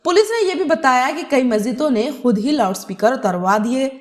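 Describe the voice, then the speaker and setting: A woman's voice: high-pitched, animated, a little fast. A woman narrating a scripted news report as a news presenter.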